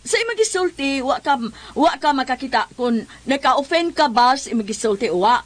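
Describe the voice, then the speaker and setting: High-voiced, scolding village woman. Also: lively intonation, normal speed. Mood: lecturing. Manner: nagging, lecturing. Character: scolding, exasperated village woman, morally reproving. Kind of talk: Cebuano comedy radio drama acting.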